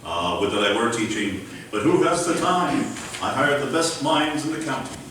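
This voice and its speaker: A man's voice: mid-range voice, sing-song, moderate pace, a bit bright, slight background noise. A man playing a boastful, wealthy uncle and land trader in an old-time radio comedy, bombastic and rattling off lists, in a live community room. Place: library community room before an audience. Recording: roomy live hall, far from mic.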